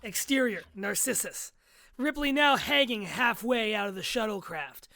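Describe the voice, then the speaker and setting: A woman narrates screenplay action as a stage directions reader. Her voice is bright and forceful. It is recorded dry, with hardly any room around it. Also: fairly high pitch, lively intonation, moderate pace, gravelly, no echo.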